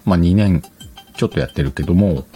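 A man's voice: deep voice, fairly quick, warm tone, faint music behind. A man hosting a casual solo podcast, chatting colloquially, rambling and correcting himself, on a dedicated microphone. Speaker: podcast host.

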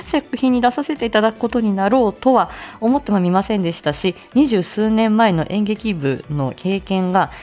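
A woman's voice: mid-range voice, expressive, normal speed, a little mellow, faint music behind. A middle-aged woman hosting a casual morning livestream, chatting politely and gigglily with her listeners and making announcements. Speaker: host of a live audio show; speech-language therapist.

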